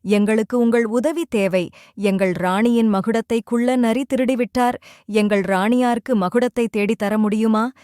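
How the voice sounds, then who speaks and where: A woman plays a polite cartoon peacock with a fairly high voice. The bird speaks formally and earnestly, pleading for help. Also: steady tone, unhurried, a little mellow, clear, resonant tone, no echo, clean studio sound, natural voice. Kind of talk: children's cartoon dub.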